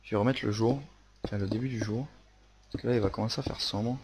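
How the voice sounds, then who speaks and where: A man's voice: fairly low pitch, steady tone, quick pace. A young man with a Marseille accent narrating a building tutorial casually, hesitant with many fillers and restarts. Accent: Marseille French. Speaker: Minecraft building tutorial host. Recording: faint noise and clicks.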